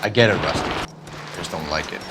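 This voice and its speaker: A man's voice: low-pitched, quick pace, heavy background noise, lightly acted. An American man playing an earnest, methodical police detective in a video game, speaking formally and inquisitively. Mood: earnest, insistent.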